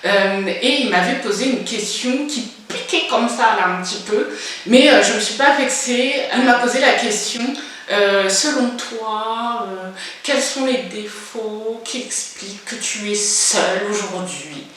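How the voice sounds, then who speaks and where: A woman's voice: on the high side, unhurried, a bit bright, heavy reverb, distant mic, rough recording. A woman in her forties talking frankly to camera in her first vlog, hesitant with lots of filler sounds but blunt. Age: 40s. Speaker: vlogger. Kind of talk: casual personal monologue.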